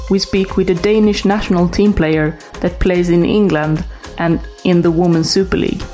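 A woman's voice: on the low side, a little mellow. A woman host reading a scripted introduction to a podcast episode. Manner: reads from a script.

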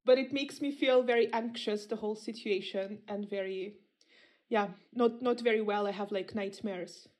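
A woman's voice: mid-range voice, fairly even intonation, normal speed, darkish tone. A woman from Russia talking casually and personally in an interview.

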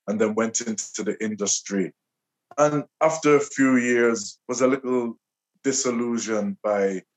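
Low-voiced man, reflective. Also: rough recording. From Liverpool, England. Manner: reflective, measured, with pauses and restarts. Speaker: guest poet. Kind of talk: conversational interview.

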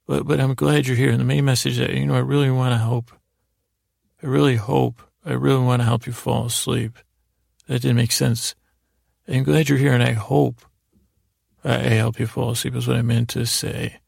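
Male bedtime podcast host, heavy vocal fry, flat intonation, halting and whimsical rambler who loses his way between words. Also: mid-range voice, no echo, studio quality. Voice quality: gravelly, creaky. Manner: gently silly, whimsical.

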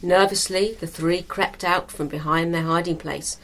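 A woman reading a scripted story as narrator, in a measured, expressive storytelling manner. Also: fairly low pitch, slight background noise, low-quality recording.

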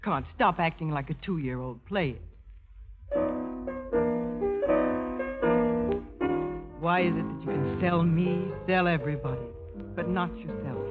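An actress playing a patient, loving young wife who pleads and coaxes earnestly, husky-voiced, in 1950s radio drama style. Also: female voice, low voice, moderate pace, natural voice. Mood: coaxing. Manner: earnest, soothing, coaxing. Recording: muffled, tinny 1950s radio recording, faint music.

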